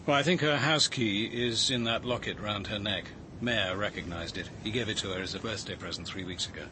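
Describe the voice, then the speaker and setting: A man's voice: on the low side, a little fast, noisy background, close to an everyday voice. An actor playing a composed, thoughtful male detective in a radio drama, articulate and precise in his speech. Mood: composed, reasoning. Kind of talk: acted British radio drama dialogue.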